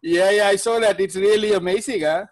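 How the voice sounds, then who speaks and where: A man hosting a casual podcast interview, friendly and chatty, often pausing with "uh" and laughing lightly. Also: high voice, no echo. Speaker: podcast host. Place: Manila.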